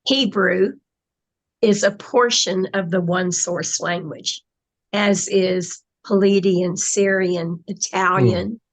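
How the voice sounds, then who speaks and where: A woman's voice: fairly low pitch, fairly even intonation, takes it slowly. A woman in casual conversation, explaining her spiritual channeling in a reflective way, with trailing phrases.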